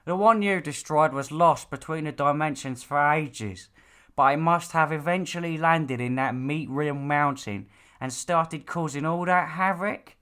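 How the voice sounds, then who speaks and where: A man's voice: on the high side, measured pace, a bit bright, smooth, pitched above the performer's own voice. Stern, nagging father, acted by a male reader, clean resonant voice, lecturing, bossy audiobook dialogue. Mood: matter-of-fact. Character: stern, nagging, exasperated father of a demon slayer.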